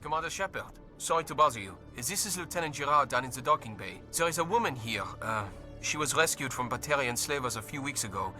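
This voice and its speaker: Hesitant navy lieutenant over music. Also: male voice, mid-range voice, animated, fast, few pauses, pushed and bright. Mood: apologetic, uneasy. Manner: hesitant, earnest. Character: anxious, apologetic male navy lieutenant on docking bay duty. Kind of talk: video game voice acting.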